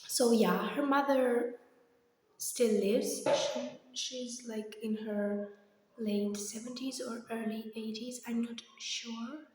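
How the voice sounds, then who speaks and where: Slow-talking young woman in a very echoey room. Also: on the high side, fairly even intonation, a little mellow, a little hiss or hum. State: slightly hoarse. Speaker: vlogger. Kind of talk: casual personal chat, rambling updates. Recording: very echoey, reverberant empty room.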